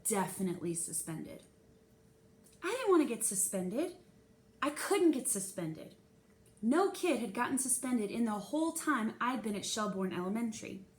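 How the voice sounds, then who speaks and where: Echoey, expressive woman reading a story aloud. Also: on the high side, normal speed. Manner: expressive, dramatizes the young narrator's feelings and dialogue. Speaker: reader of a children's novel. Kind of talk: read-aloud storytelling, first-person narration. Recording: echoey room with faint hiss.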